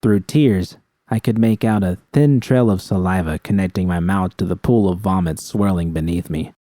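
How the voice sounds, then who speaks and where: A man's voice: sits low, moderate pace, mellow, no room sound, clean studio sound. A man reading a scripted first-person story as an audiobook narrator.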